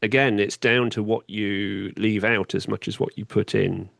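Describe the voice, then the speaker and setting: A man's voice: lowish voice, fairly even intonation, moderate pace, vocal fry, a bit bright, dry recording. A British man hosting a podcast interview, talking conversationally and reflectively, building points through repetition and questions.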